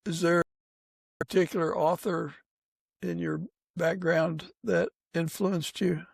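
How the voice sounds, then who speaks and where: A man with a creaky, slightly high voice hosts a casual interview podcast. He talks in a halting way, stopping partway through sentences, and the sound is close and dry. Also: little pitch movement, no room sound. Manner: halting, pausing mid-sentence. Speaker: podcast host.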